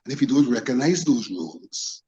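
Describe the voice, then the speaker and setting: A Guyanese man speaks as a guest on a political panel. His phrasing is formal and deliberate, set out step by step in a mid-pitched voice. The audio is a little dull and lo-fi. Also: big pitch swings, darkish tone.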